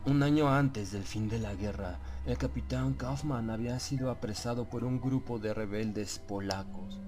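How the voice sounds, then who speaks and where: A man narrating a horror audiobook in a solemn, measured, suspenseful way. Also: medium pitch, darkish tone. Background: prominent background music.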